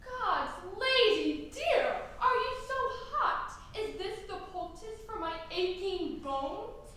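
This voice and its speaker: A woman's voice: high voice, expressive, unhurried, pushed and bright, a little hiss or hum, heavily acted. A woman playing an old nurse who grumbles about her aches and teases, in a stage Shakespeare performance. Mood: scolding. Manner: complaining, dawdling, theatrical. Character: fussy old female nurse, achy and teasing. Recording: echoey hall from far off.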